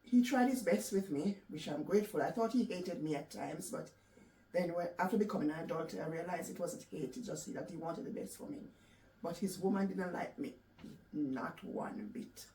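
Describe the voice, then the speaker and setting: A woman from Jamaica with a Jamaican accent, recounting her life story in a soft, reflective way with halting restarts. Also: fairly low pitch. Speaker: interview guest. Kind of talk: personal storytelling in an interview. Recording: echoey room, distant mic, faint background noise.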